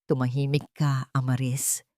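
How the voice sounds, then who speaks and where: A woman voicing a bitter, drunken former army officer in an audio story, hoarse and sullen. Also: very deep voice, level tone, moderate pace, mellow, dry recording, studio quality, pitched below the performer's own voice. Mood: sullen, irritated. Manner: sullen growl. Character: broken, drunken, bitter male ex-military officer.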